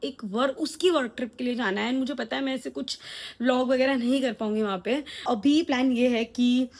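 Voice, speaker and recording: A woman's voice: sits high, fairly even intonation, brisk, darkish tone. A young woman talking to camera in a casual vlog, chatty and rambling, poking fun at herself. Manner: chatty, rambling, self-mocking. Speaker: vlogger.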